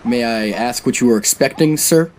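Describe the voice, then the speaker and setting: A man's voice: medium pitch, moderate pace, a little mellow, lightly acted. An American man playing an earnest US Navy carrier captain in a wartime game drama. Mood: respectful. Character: earnest US Navy carrier captain, war veteran recounting battles. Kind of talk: dramatic scripted game narration and dialogue.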